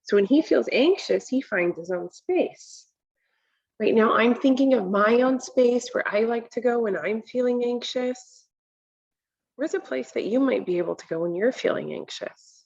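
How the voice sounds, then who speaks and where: A woman in her thirties reading a picture book aloud to children, deliberate and instructional, pausing to explain and ask questions. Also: fairly high pitch, animated, gravelly, a little mellow, lo-fi sound. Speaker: read-aloud presenter for children. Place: home kitchen, indoors.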